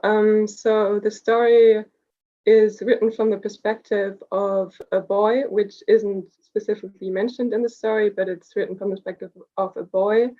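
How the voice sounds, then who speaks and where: A woman's voice: mid-range voice, dark tone, muffled recording. A young woman from Germany with a slight German accent, talking as a writer in an interview, thoughtful and hesitant with many fillers. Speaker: award-winning writer, guest. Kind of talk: casual interview over video call.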